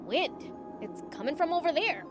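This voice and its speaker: A woman's voice: sits high, very animated, normal speed, music in the background. A woman voicing a cocky, eager young demon prince in a video game, with a brash, boyish manner. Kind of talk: video game cutscene acting.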